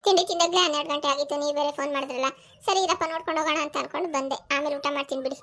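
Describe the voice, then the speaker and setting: A woman voicing an overworked, sharp-tongued village housewife and mother in a family cartoon, scolding and complaining with a rural southern Karnataka accent. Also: sits high, steady tone, racing. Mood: hurt, complaining. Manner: scolding, complaining, rhetorical questions.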